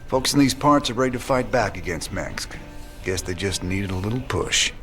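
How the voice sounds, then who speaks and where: An actor playing a stubborn, brooding rebel outlaw in a game cinematic, with a rough American drawl and a dry, determined manner. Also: male voice, on the low side, animated, moderate pace, a bit bright, soft background music. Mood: wry. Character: brooding rebel outlaw, former frontier marshal, stubbornly defiant. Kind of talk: video game cinematic acting.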